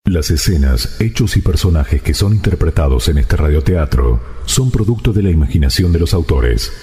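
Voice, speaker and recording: A man reading a scripted radio voice-over as a formal, measured announcer. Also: extremely low pitch, lively intonation, mellow. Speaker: station announcer. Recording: reverberant voice-over production. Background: faint music.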